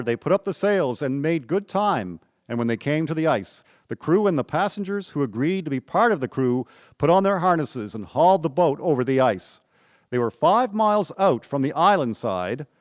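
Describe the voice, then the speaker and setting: A man's voice: medium pitch, no echo, studio quality. A man narrating a historical radio drama in scripted storytelling style. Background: music.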